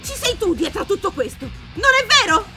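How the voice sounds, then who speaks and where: Woman playing a high, animated anime heroine. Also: fairly quick, over loud music, heavily acted. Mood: defiant. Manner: dramatic, emphatic. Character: plucky melodramatic young anime heroine. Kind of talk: anime parody dub.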